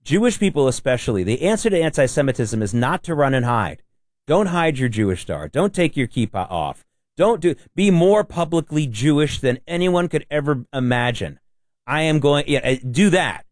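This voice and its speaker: A man's voice: on the high side, big pitch swings, moderate pace, no room sound, studio quality. A man co-hosting a talk radio show, blunt and emphatic. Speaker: radio co-host. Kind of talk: casual talk radio chat.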